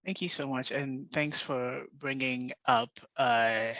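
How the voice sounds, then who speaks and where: A man moderating an online panel, polite and deliberate as he introduces speakers. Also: mid-range voice, fairly even intonation, bright, forceful tone, no echo. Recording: dull, dry online call audio.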